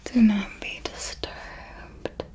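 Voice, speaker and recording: A woman's voice: drawn-out words, mellow. A woman voicing an ancient, serenely ruthless and darkly tender female vampire mentor in an ASMR roleplay, speaking formally and coaxingly in a whisper. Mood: solemn, seductive.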